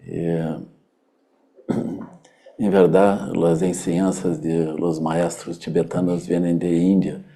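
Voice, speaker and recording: A Brazilian man, a native Portuguese speaker with a Brazilian Portuguese accent, gives a hesitant Buddhist teaching with many pauses and fillers, sometimes slipping into Portuguese. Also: sits low, level tone, dark tone. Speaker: Buddhist teacher giving a public talk. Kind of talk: unhurried dharma talk.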